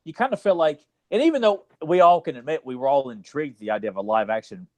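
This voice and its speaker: A man's voice: on the high side, animated, fast, darkish tone. A man co-hosting a podcast, talking casually about movies in a rambling way full of asides. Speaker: podcast co-host.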